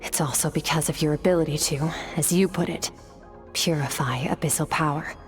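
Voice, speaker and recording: A woman's voice: sits low, fairly even intonation, brisk, faint music behind, close to an everyday voice. An actress playing an aloof, battle-hardened swordswoman in a video game, composed and condescending in manner. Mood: cool, matter-of-fact. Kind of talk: scripted video game acting.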